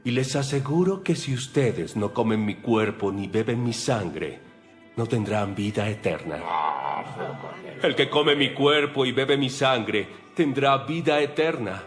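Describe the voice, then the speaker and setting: Guy playing a solemn holy teacher in an audio bible drama, really slow and sure of himself, bit of music under it. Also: mid-range voice, expressive, natural voice. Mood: solemn, assured. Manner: solemn, deliberate, declarative.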